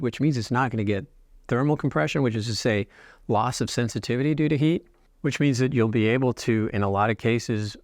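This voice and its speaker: A man giving an explanatory talk as a company founder and designer, speaking in measured, deliberate phrases with pauses. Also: medium pitch, dry recording, studio quality. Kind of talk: explanatory product talk to camera.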